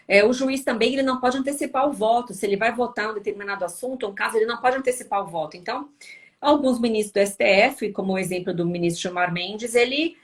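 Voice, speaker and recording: A tired woman from Brazil hosting a casual live stream, answering viewers with lots of tag questions. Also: a little high. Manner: chatty, frequent tag questions.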